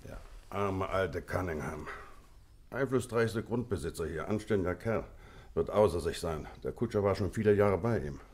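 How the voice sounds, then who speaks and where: A hearty old-soldier colonel in a detective radio play. The male voice sits fairly low. He talks bluntly and emphatically, with the jovial authority of a country host. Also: normal speed, darkish tone, husky. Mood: musing, blunt. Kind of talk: German radio play acting.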